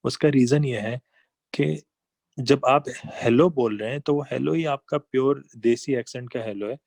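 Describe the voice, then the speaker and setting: A man talks through a business lesson over slides. His tone is conversational, moving from examples to asides as he explains each point. The voice is mid-pitched and recorded close and dry. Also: normal speed, vocal fry, a little mellow, dry recording. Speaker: online business trainer.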